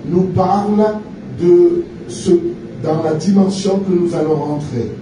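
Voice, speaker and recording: A man from France preaching a sermon in short phrases, pausing for an interpreter. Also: sits high, noisy background. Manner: pauses in short phrases for translation. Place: large church hall. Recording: echoey church from far off.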